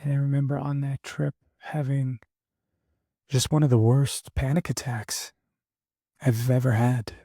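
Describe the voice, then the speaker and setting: A young man losing his voice, talking candidly and haltingly in a reflective personal monologue as a podcast host. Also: medium pitch, flat delivery, dark tone, no room sound, clean studio sound. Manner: halting, candid, many fillers and restarts.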